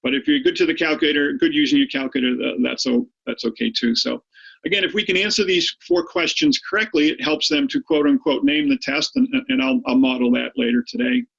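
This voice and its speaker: A man's voice: on the high side, big pitch swings, a little fast, vocal fry, fairly bright tone, slightly rough, muffled recording. A middle-aged man with a Boston accent tinged by Maine, giving an online statistics review lesson in a chatty way, often repeating his words. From Boston area, United States. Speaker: high school statistics teacher.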